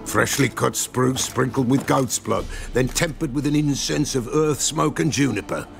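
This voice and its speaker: An actor playing an eccentric old village soothsayer in a fantasy game, with creaky voice and archaic, riddling, repetitive speech. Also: male voice, medium pitch, normal speed, faint music behind, natural voice. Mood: cryptic, agitated. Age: older. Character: eccentric, batty old village soothsayer who sees ghosts. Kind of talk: video game fantasy acting.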